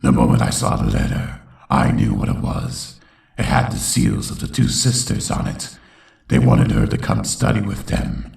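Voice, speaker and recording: A man playing a brooding unicorn villain who solemnly narrates his tormented youth in a gravelly voice, as in a dramatic comic dub. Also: very deep voice, huge pitch swings, normal speed, a little mellow, roomy sound, recorded from a distance, heavily acted. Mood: ominous, regretful. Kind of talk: scripted first-person dramatic narration.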